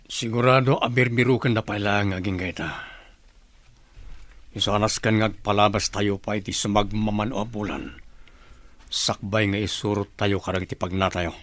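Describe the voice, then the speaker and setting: An actor playing a firm, authoritative group leader in an Ilocano radio drama. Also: male voice, fairly low pitch, fairly even intonation, moderate pace, long pauses, a bit bright, no room sound, close to an everyday voice.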